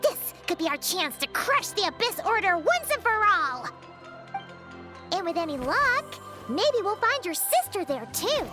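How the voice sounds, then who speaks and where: Squeaky, very high-pitched fairy sidekick. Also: female voice, very animated, measured pace, music in the background, heavily acted. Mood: excited. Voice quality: squeaky, childlike. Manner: perky, exclamatory, refers to self in third person. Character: tiny excitable female floating fairy companion, childlike, chatty. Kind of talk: English video game voice acting.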